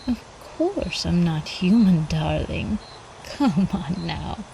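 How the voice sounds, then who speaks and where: Slow, velvety seductive vampire countess played by a woman. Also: on the low side, animated, gravelly, dark tone, a little hiss or hum, heavily acted. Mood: calm, predatory. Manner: slow, teasing, cooing, often dropping into whispers. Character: seductive, predatory female vampire countess, ancient, doting and menacing. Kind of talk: ASMR roleplay, intimate scripted monologue.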